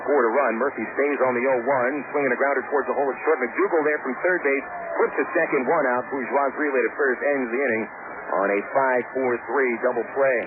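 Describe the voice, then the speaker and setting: A man doing radio play-by-play for a baseball game, with a steady, conversational call and the odd filler. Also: medium pitch, brisk. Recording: tinny lo-fi radio broadcast with loud hiss.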